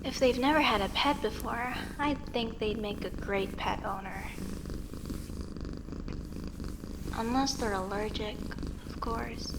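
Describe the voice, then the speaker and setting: A woman's voice: a little high, normal speed, lightly acted. A woman playing a friendly, teasing young female werecat in a comedic audio roleplay, talking to herself expressively with hesitant stammers. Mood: soothed, wondering. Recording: steady rain ambience noise behind voice. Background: rain ambience.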